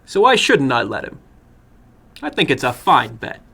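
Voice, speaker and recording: A man's voice: medium pitch, huge pitch swings, normal speed, vocal fry, sharp tone, breathy edge, heavily acted. A man voicing a cocky, stubborn young man in a horror story, casual and insistent. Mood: cocky, nonchalant. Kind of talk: dramatic horror story reading.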